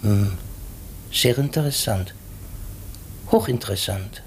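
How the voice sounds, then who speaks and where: An actor playing a vain, shrewd little master detective in 1950s radio drama style, speaking in a pompous, cryptic, lecturing manner. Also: male voice, lowish voice, takes it slowly, vocal fry, slight background noise. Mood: probing, intrigued. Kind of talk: 1950s German radio play acting.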